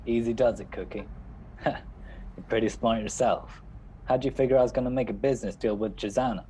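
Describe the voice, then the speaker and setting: An actor playing a hard-boiled, wry, unflappable private detective in an old-time radio drama, talking in terse, sardonic lines. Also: male voice, mid-range voice, fairly even intonation, moderate pace, dark tone, slight background noise, close to an everyday voice, low-quality recording. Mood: calm, commanding. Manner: sardonic, terse tough-guy talk.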